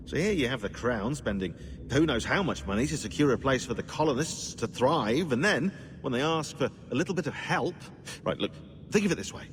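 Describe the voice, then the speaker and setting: An actor playing a snide, excitable, pedantic British historian who rambles sarcastically in a video game. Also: male voice, mid-range voice, brisk, background noise, close to an everyday voice. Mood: indignant, animated.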